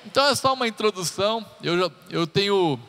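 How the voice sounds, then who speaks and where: A man's voice: high-pitched, expressive, a little fast, fairly bright tone. A man in his thirties, a pastor giving a chatty, joking sermon introduction with personal stories to his congregation. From Brazil. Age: 30s. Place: church hall. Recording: live church hall reverb.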